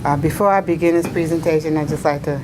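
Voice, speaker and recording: Low-voiced woman, a bit raspy. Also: steady tone, fast talker. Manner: formal, reading from slides. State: slightly raspy from illness. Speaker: budget presenter. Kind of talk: formal council presentation. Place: city council chamber. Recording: noisy council chamber audio.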